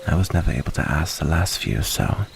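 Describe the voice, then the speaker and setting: A man playing a gentle, curious night-time stranger in an ASMR roleplay, speaking in a soothing, hushed, unhurried way. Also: very deep voice, lively intonation, gravelly, background noise, exaggerated voice. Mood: curious, intrigued. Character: gentle, curious male stranger lurking near the mansion.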